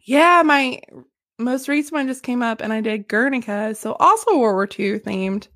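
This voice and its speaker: A woman with a Southern US accent co-hosting a casual podcast, animated and quick to laugh. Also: a little high. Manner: giggly, animated, laughs often. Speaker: podcast co-host. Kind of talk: casual podcast chat, livestreamed.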